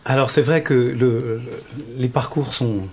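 A man with a gravelly, husky voice talks as a radio guest. He is the director of the show, and he explains his work slowly, often stopping to search for the right word. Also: medium pitch, steady tone, a little mellow. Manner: halting, searching for words.

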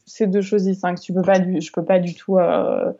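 A woman's voice: fairly low pitch, flat delivery, moderate pace, gravelly, crowd noise. A young woman chatting as an interview guest, hesitant, with many fillers and restarts. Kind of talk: casual interview chat. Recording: dull lo-fi recording.

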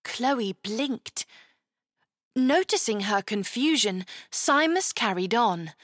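Female children's storyteller: animated intonation, slow, careful pacing. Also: a little high, no room sound, studio quality. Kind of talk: scripted children's story narration.

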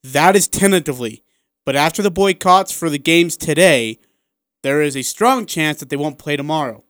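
A man's voice: fairly high pitch, expressive, normal speed, a bit bright, no room sound, clean studio sound. A man hosting a sports radio show, casually running through schedules and what is coming up next.

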